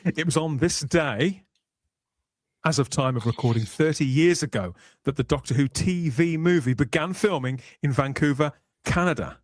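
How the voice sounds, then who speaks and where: A man's voice: on the high side, normal speed, no echo. Male podcast host with a dry, close sound. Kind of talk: conversational hosting with scripted, polished narration.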